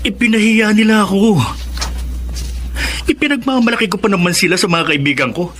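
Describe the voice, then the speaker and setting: An actor playing a troubled, resentful teenage son in a Filipino radio drama, sounding aggrieved and halting when upset. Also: male voice, sits high, fairly bright tone, slight background noise. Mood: hurt, bitter.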